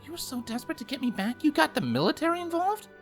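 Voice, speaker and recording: Man voicing a high, lively young unicorn princess. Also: fast, full character voice. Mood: startled. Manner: soft, hesitant, sheepish. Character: young female unicorn princess, nervous, earnest little sister. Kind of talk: audiobook character voice in fan fiction. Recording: music playing behind the voice.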